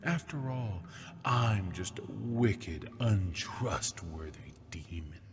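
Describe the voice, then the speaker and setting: A cruel, smug demon taunting his captor in a deep, husky half-whisper, performed by a man in an ASMR roleplay. He drawls slowly and mockingly, with long reverb trailing each word. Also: slight background noise. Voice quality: breathy half-whispered delivery. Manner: mocking, slow and seductive.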